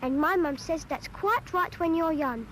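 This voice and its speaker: A woman's voice: very high-pitched, measured pace. A woman voicing a cheeky English schoolchild who chattily narrates a public information film, exasperated by grown-ups. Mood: wry, chatty.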